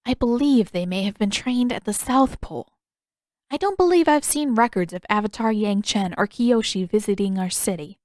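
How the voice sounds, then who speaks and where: A woman voicing a kindly older healer in an audiobook story, with a measured, patient and gently questioning way of speaking. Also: medium pitch, animated, dry recording, studio quality, lightly acted. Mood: curious, probing.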